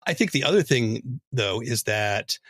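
A man's voice: medium pitch, expressive, measured pace, fairly bright tone, husky, dry recording. A man hosting a podcast interview in a casual, conversational style.

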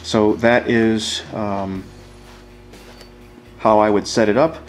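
Low-voiced man giving a shop tutorial. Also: flat delivery, slow. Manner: casual, informal, addresses viewers as guys. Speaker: welding instructor demonstrating. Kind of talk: hands-on tutorial explanation.

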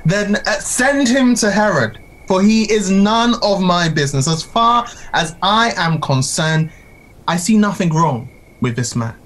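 A woman's voice: lowish voice, animated, measured pace. A woman playing a reluctant, deferential Roman governor in an audio play. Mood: dismissive. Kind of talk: dramatic audio play acting.

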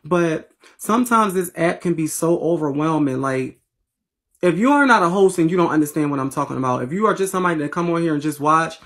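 A woman from Philadelphia with a raspy voice and a Philly accent, chatting bluntly and profanely to viewers on a phone livestream from her bedroom. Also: sits low, lively intonation, a little fast. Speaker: livestream host. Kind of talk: casual livestream chat. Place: bedroom at home, indoors. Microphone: phone at arm's length.

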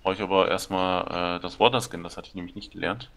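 Low-voiced man explaining game features. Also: fairly even intonation, quick pace, a bit bright. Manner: rambling, explains game mechanics at length. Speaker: gameplay co-commentator. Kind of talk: casual co-op gameplay chat.

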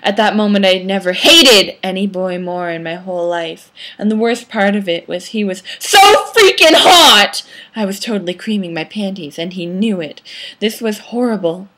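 A woman's voice: medium pitch, steady tone, normal speed, a bit bright, slight background noise. A young woman reading fan fiction aloud in a theatrical, mock-dramatic way, recorded on a webcam microphone in a small room. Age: young adult. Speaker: amateur reader. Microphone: webcam or laptop microphone at arm's length.

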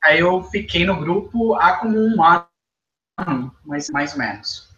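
A man's voice: a little high, expressive, takes it slowly, bright, forceful tone, highs cut off. A young man from Costa Rica, a native Spanish speaker with a Costa Rican accent, answering interview questions in learner Portuguese, hesitant and repeating words, often adding "sabe?". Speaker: guest. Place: small indoor living room. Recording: echoey room.

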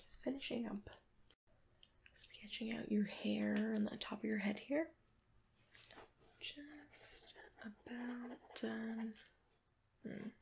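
A young woman doing a gentle ASMR personal-attention roleplay in a soft, breathy whisper, murmuring close to the mic to one listener. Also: fairly high pitch, steady tone, slow, pauses often, a little hiss or hum. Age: young adult. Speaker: ASMR roleplay host playing a sketch artist. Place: bedroom, indoors. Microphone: close mic, facing camera.

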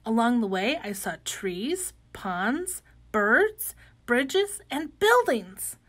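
Storybook caterpillar played by a woman: young, cheerful, full of wonder, slightly high pitch, slow gentle delivery. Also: exaggerated voice. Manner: gentle, expressive, with excited exclamations. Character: cheerful, wide-eyed young monarch caterpillar turned butterfly. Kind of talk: animated read-aloud of children's picture book.

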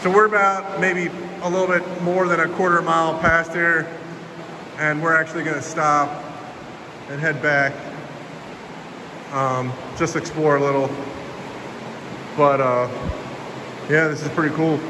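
A man's voice: fairly high pitch, a little slow, pauses often, background noise. A young man casually narrating a hike through a cave, rambling with lots of fillers, filmed on a handheld camera. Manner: relaxed, rambling, filler-heavy commentary. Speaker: hiking vlogger. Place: inside a cave. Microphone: handheld camera held by the speaker.

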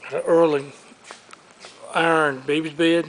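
A man's voice: a little high, little pitch movement, a bit bright, slight background noise, rough recording. A man previewing auction items, listing furniture and collectibles one after another as he walks through a large warehouse showroom, recorded on a handheld camera. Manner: listing items briskly, upbeat salesman patter.

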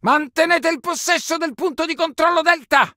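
Urgent high-pitched Italian soldier. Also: male voice, moderate pace, dry recording, clean studio sound, exaggerated voice. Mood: commanding, urgent. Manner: forceful, urgent barking. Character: urgent young Italian infantry soldier in battle. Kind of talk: shouted battle orders for a war video game.

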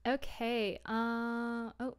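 A woman's voice: a little high, level tone, extremely slow, smooth. A young adult woman chatting casually and a bit ramblingly as she hosts a livestream from a room at home. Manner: chatty, rambling, giggly, apologetic. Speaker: livestream host, audio drama creator. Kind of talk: casual livestream chat with viewers. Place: small room indoors at home.

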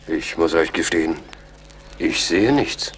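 A man's voice: low-pitched, lively intonation, a little slow, a little hiss or hum. A man acting a weary, doubtful army major in a German radio play, formal and questioning.

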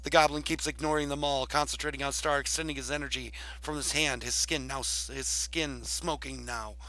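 A man's voice: medium pitch, fairly even intonation, brisk, bright, forceful tone. A man hosting and narrating a fantasy roleplay game with casual commentary. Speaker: roleplay video host and narrator. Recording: faint background noise.

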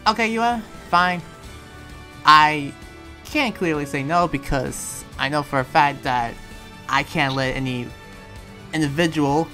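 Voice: medium pitch, fairly bright tone. A performer improvising a polite, awkward young villager in a Minecraft roleplay, talking in a hesitant, rambling way. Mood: reluctant, yielding.

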